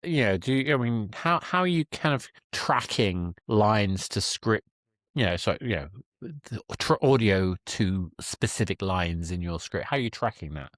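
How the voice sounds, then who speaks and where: A man's voice: lowish voice, animated, normal speed, a bit bright, dry recording, studio quality. A man hosting a conversational podcast interview, feeling his way through questions and rephrasing as he goes.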